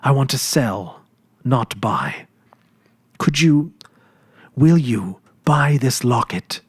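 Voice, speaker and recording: A man's voice: mid-range voice, expressive, slow, slightly rough, dry recording, studio quality, heavily acted. A man voicing a hesitant, uncertain young woman in a storybook reading. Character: anxious young woman selling her locket.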